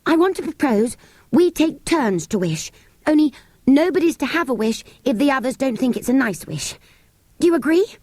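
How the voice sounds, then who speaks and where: A woman's voice: fairly high pitch, lively intonation, moderate pace, darkish tone, no echo, pitched above the performer's own voice. A woman voicing an earnest, polite young girl in a storybook reading, pleading and apologetic. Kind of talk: audiobook character voice.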